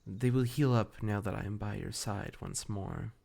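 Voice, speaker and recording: A man acts out an age-old fey spirit bound as a familiar in a fantasy audio roleplay. The voice sits fairly low with a heavy creak. The phrasing is courtly and measured, full of tender devotion. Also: fairly even intonation, darkish tone. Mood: calm, dutiful. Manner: formal, archaic, measured diction. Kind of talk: intimate roleplay audio acting.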